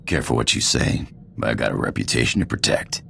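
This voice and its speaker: A man playing a cocky, roguish space pirate in a video game, with a gravelly drawl, casual slang and a flirtatious swagger. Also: expressive, brisk, sharp tone, slight background noise, heavily acted. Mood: smooth, flirtatious. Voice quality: gravelly, very deep voice. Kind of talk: video game character acting.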